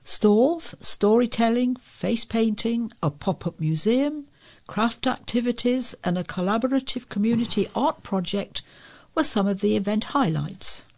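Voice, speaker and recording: A woman's voice: on the high side, lively intonation, unhurried, vocal fry, darkish tone. Woman reading scripted local news. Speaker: volunteer newsreader for a talking newspaper.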